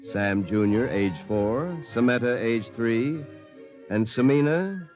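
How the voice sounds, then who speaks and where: An actor playing a smug, smooth-talking, cocky man with a scheming streak, in 1950s radio drama style. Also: mid-range voice, slow pace, lo-fi sound, lightly acted. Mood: pleased, narrating. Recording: 1950s radio broadcast with music.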